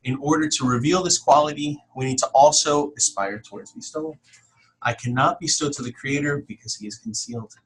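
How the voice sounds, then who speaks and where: A man teaching an informal spiritual class to a small group, talking in a halting, conversational way. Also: medium pitch. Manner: halting, conversational, addresses listeners as friends. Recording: distant room mic with background noise.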